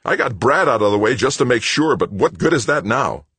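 A man's voice: fairly low pitch, big pitch swings, normal speed, breathy edge, dry recording, pitched above the performer's own voice, heavily acted. A man voicing a volatile, bitter adult son in an audiobook, with a snarling, ranting delivery. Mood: enraged, anguished. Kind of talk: audiobook character acting.

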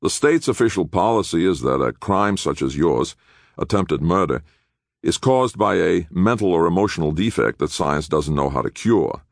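A cold, impatient young male lawyer played by a male narrator in an audiobook. He speaks low and curt, with accusing, disapproving emphasis and a gravelly edge. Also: lively intonation, normal speed, dry recording, studio quality, heavily acted. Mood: stern.

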